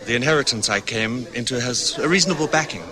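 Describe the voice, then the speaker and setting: A man's voice: mid-range voice, fast, a crowd in the background, close to an everyday voice. An actor playing a polite, well-off, educated young man with a guarded, understated manner in an old radio drama.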